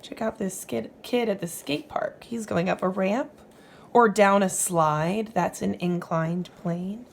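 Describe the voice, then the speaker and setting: A woman's voice: mid-range voice, slow, gravelly. A woman reading aloud to young children as a teacher would, explaining as she goes and putting questions to the listener. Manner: instructive, asks the listener questions.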